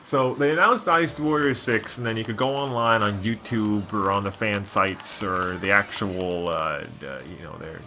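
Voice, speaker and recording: A man's voice: on the low side, normal speed, hardly any pauses, bright, forceful tone, slight background noise. A man doing casual gameplay commentary as a co-host, rambling and opinionated like a devoted fan. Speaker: gaming video co-host.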